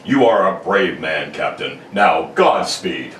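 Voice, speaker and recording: A man's voice: low-pitched, lively intonation, slow pace. A man playing a solemn army general with grave orders in an old-time radio drama. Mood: stern, solemn. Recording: roomy, distant mic with faint noise.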